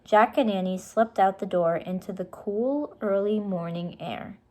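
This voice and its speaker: A woman's voice: medium pitch, measured pace, warm tone. Woman reading a children's story aloud. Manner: explains words and asks questions for young listeners. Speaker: storybook reader.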